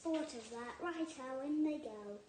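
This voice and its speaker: Young female reader as a high, gleeful scheming dingo. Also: a little slow, darkish tone. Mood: smug. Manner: gleeful sing-song chanting. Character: clever greedy male dingo, singing and scheming over stew. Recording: echoey room, faint background noise.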